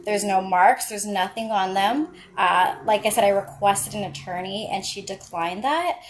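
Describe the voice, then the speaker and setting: A woman's voice: mid-range voice, normal speed. Insistent woman on a phone call, faint noise. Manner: insistent, persistent. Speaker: caller filing a complaint.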